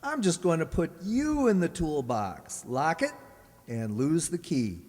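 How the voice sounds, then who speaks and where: A smug robot double played by a man in a radio drama, heard in a long hall reverb. He speaks slowly and deliberately, coolly threatening, with a swooping delivery. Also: on the high side, very animated, darkish tone. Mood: coolly menacing. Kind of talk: radio play acting. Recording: echoey hall, stage-like reverb.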